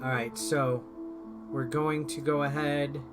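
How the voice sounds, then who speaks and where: A man's voice: on the high side, steady tone, slow pace. A man giving informal, rambling gameplay commentary as a video host, explaining things step by step. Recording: music behind the voice. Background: game music.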